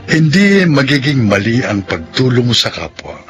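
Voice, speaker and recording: A man's voice: mid-range voice, very animated, unhurried, a bit bright, soft background music. A man with a Filipino accent giving dramatic radio narration as the host of a drama show.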